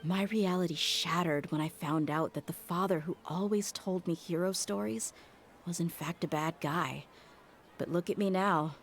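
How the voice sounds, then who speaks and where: An actress playing a tough, candid young female mercenary in a video game, wistful but resolute, speaking in a measured, reflective way. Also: on the low side, lightly acted. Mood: bitter, reflective. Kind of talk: scripted game dialogue. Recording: prominent music under the voice.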